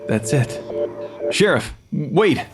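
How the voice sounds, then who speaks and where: A man's voice: fairly high pitch, big pitch swings, takes it slowly, music in the background. A man playing a clever, slightly awkward forensic pathologist with an analytical manner, acted naturally for an audio drama. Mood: flustered. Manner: analytical, hesitant when cornered. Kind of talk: naturalistic audio fiction podcast acting.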